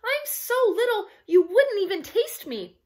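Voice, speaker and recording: A woman voicing a tiny young male billy goat in a children's storybook, timid and pleading in a squeaky voice. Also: very animated, measured pace, a little mellow, exaggerated voice. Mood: frightened, pleading. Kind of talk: animated children's storybook reading.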